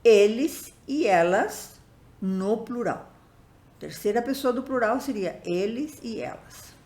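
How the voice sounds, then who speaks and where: A woman teaching a language class online, explaining grammar to students in a didactic, deliberate way with frequent pauses between phrases. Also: on the high side, animated, a little mellow, echoey room.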